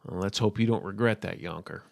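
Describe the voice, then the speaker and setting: A man voicing a terse, guarded, gruffly protective gunslinger in a story, speaking flatly and curtly. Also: on the low side, moderate pace, no echo, clean studio sound. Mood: resigned. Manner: flat, curt. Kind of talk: storybook character voice.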